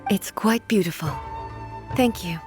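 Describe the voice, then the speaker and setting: A woman playing a regal, solemn immortal ruler in a video game, speaking in a formal, measured, contemplative way. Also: medium pitch, over music, lightly acted. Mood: calm, gentle.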